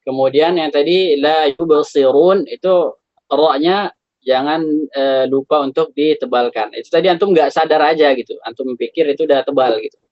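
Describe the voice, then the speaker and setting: A man teaching Quran recitation on a video call, relaxed and chatty, gently correcting his students' pronunciation. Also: fairly high pitch, normal speed, no echo, muffled recording. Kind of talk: online tajwid class, correcting students.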